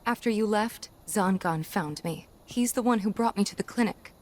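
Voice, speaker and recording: A woman's voice: mid-range voice, moderate pace, a little mellow, natural voice. An actress playing a guarded, earnest young woman in a naturalistic video game cutscene. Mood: defensive, indignant. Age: young adult. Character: young woman, earnest, guarded, hurt and defensive childhood friend.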